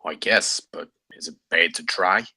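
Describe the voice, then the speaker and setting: A man's voice: sits low, unhurried, gravelly, sharp tone, breathy edge, no echo, exaggerated voice. A man reading the part of a wry, evasive campus security officer at a script table read.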